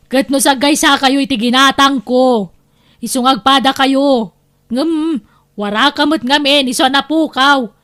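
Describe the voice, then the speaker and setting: A woman plays a boastful, bossy aunt in an audio drama. Her voice is high, with an Ilocano accent. She talks in an insistent, scolding way. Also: a little slow, fairly bright tone. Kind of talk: Filipino audio drama acting.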